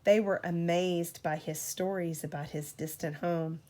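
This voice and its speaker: Slow-paced woman reading a bedtime story. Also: on the low side. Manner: expressive, deliberate storytelling. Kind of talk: storybook read-aloud to a child.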